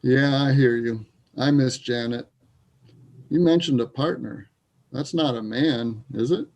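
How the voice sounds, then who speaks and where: A man playing a wry, troubled, grieving Canadian sports fan in a readers theater piece, with a gravelly voice. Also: mid-range voice, a little slow, a little mellow, muffled recording. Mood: wistful, troubled.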